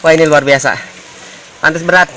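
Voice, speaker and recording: A man hosting a casual vlog, his voice hoarse and nasal from the flu as he talks to his viewers. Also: a little high, fairly even intonation, normal speed, heavy background noise. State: congested with flu. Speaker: vlog host.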